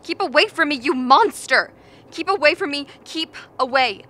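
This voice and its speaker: Woman acting a paranoid, sharp-voiced, defiant expedition member. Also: high-pitched, lively intonation, normal speed. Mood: frantic, furious. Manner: agitated, suspicious, shouting outbursts. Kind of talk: radio play acting. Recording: faint background noise.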